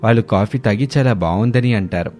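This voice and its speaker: A man's voice: fairly low pitch, a little fast, darkish tone. A man reading a scripted children's story as its narrator. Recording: music under the voice. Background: background music.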